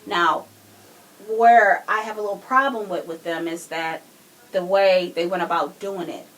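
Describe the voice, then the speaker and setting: A woman's voice: on the low side, a little slow, fairly bright tone, low-quality recording. A woman co-hosting a podcast, giving her opinions in casual discussion. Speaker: podcast co-host.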